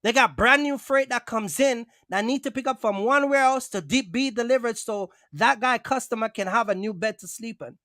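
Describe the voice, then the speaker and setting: A man's voice: very high voice, moderate pace, few pauses, sharp tone, no echo, studio quality. A man talking casually and emphatically as a trucking vlogger, repeating himself and addressing listeners directly in streetwise language. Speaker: box truck owner-operator and vlogger. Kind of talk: casual, streetwise storytelling talk.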